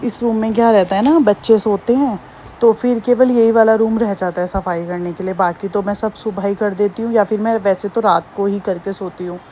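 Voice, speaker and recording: Hoarse-throated woman vlogger. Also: mid-range voice, steady tone, a little fast, warm tone, slight background noise. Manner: chatty, homely, rambling. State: hoarse, slightly sore throat. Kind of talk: casual daily-life vlog narration.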